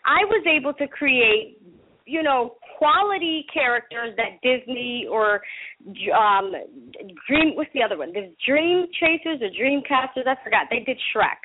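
A high-pitched woman from Panama, a native Spanish speaker, talks as an enthusiastic interview guest. She is chatty and eager as she promotes her work. Her voice comes through a muffled, narrow telephone line. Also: animated, unhurried, slight background noise. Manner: enthusiastic, talkative. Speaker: interview guest, entertainment entrepreneur.

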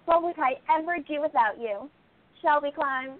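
A woman playing a composed, shrewd female sleuth in a radio play, with deliberate and rather formal phrasing. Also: sits high, no echo, close to an everyday voice. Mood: poised. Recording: thin, phone-like band-limited audio.

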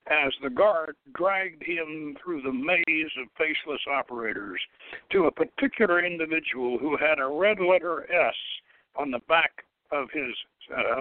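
Dry, narrowband radio sound frames a man reading fiction aloud. He hosts and narrates in a dramatic, scripted style with a slightly high male pitch. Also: expressive, unhurried, no echo. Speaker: host reading his own novel.

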